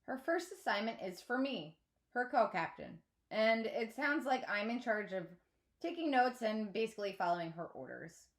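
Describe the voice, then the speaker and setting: A woman teacher reading a children's novel aloud in an expressive storytelling style. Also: a little high, moderate pace.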